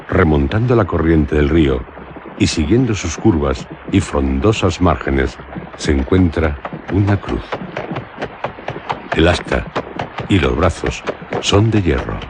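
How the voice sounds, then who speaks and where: An actor playing a melancholic traveller narrating a literary radio drama, solemn and measured, with a Castilian accent. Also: male voice, rumbling low, background noise, full character voice. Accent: Castilian Spanish. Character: solemn, melancholic male traveller recounting an eerie journey.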